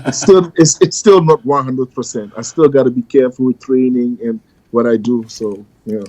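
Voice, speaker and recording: A man raised in the Netherlands, talking as a bodybuilding guest in a halting way, full of "you know". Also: medium pitch, warm tone, no room sound, rough recording. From Ghana, raised in the Netherlands. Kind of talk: casual interview chat.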